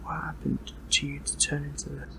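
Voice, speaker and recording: A man's voice: fairly high pitch, completely flat delivery, normal speed, gravelly. Defiant captured hero, acted by a man, noisy recording. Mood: bitter, sarcastic. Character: defiant captured male superhero, wounded, bitter. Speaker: audio roleplay voice actor. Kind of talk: dramatic audio roleplay monologue.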